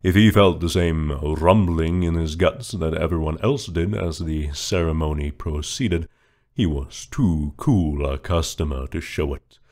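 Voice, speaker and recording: Deep voiced guy reading a kids book real slow, totally deadpan. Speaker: reader. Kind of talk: dramatic reading of a children's book.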